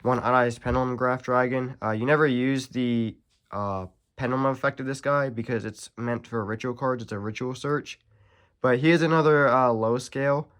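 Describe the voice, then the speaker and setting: A young man with a stuffy, nasal voice from allergies, casually walking through a card deck, rambling with many pauses and self-corrections. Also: medium pitch, fairly even intonation, a bit bright. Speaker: card game channel host. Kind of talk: casual deck profile walkthrough.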